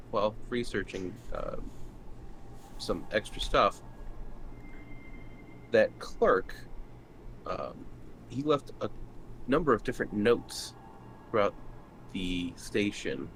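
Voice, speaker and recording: A man's voice: lowish voice, a bit bright. A man playing a tabletop roleplaying game, talking casually and improvising as his character. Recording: clearly noisy recording with room ambience.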